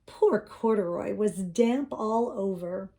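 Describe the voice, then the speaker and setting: A woman's voice: mid-range voice, slow, dark tone. A woman narrating a children's storybook as she reads it aloud. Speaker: storybook reader.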